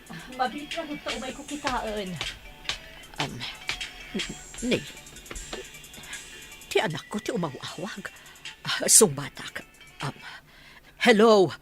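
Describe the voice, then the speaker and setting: A woman's voice: mid-range voice, very animated, takes it slowly, long pauses, music in the background. A Filipino woman with a Filipino accent playing an anxious older mother, tender toward her son, in a radio drama. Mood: flustered. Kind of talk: Philippine radio drama acting.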